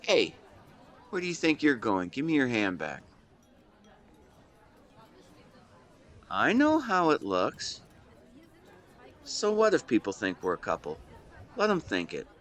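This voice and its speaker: A man's voice: medium pitch, wildly swooping pitch, unhurried, pauses often, fairly bright tone, a faint crowd. A man in his twenties playing a devoted, protective and secretly lovesick best friend in a conversational audio roleplay, earnest and playful with some hesitation. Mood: teasing, playful. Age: 20s. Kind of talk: intimate one-sided roleplay acting, conversational.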